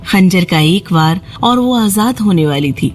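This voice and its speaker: A woman narrates a children's fairy tale in a scripted storytelling style. Her pitch is medium with a fairly lively melody, and music plays behind her.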